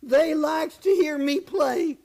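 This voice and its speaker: A woman playing a meek, unsure male guitar player in a western radio play, speaking haltingly. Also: high-pitched, a little mellow. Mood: timid.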